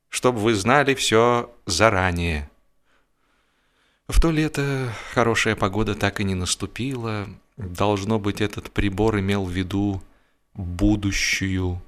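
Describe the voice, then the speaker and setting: A man reading a humorous literary story aloud in an expressive, wry, theatrical storytelling manner. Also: fairly low pitch. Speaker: audiobook narrator and actor.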